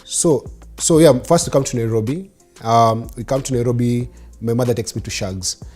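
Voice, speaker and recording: A man's voice: mid-range voice, moderate pace, darkish tone, faint music behind. A man in his forties with a Kenyan accent and Swahili as his first language, telling his life story conversationally as an interview guest. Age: 40s.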